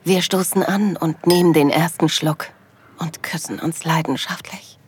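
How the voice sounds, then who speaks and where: Actress as loving wife, low soft voice, breathy half-whispers, intimate audio drama narration, ambient noise behind. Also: female voice, steady tone, unhurried. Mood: moved. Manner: soft, breathy delivery, often dropping to a whisper. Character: loving wife, happily moved, narrating her anniversary trip tenderly.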